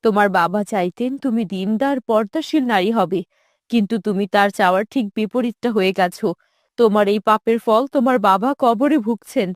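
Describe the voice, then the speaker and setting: A woman voicing a pious, caring, sorrowful mother in a cartoon drama, gently admonishing. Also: mid-range voice, brisk, no echo, clean studio sound, pitched below the performer's own voice, natural voice. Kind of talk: animated moral-drama dubbing.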